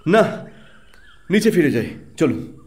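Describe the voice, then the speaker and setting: A man playing a calm, shrewd and confident detective in an audio drama, speaking in a composed, deliberate way. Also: on the high side, a little hiss or hum. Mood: probing, composed.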